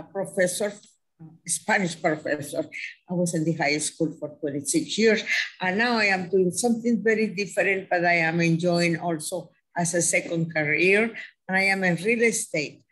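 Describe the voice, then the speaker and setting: A retired teacher in her sixties introducing herself on a video call in an unhurried way. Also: on the low side, fairly bright tone, poor audio.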